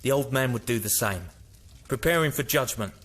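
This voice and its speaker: An actor playing a grim, impulsive rebel slave in an audio drama. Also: male voice, mid-range voice, lively intonation, moderate pace, a bit bright. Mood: stern, accusing.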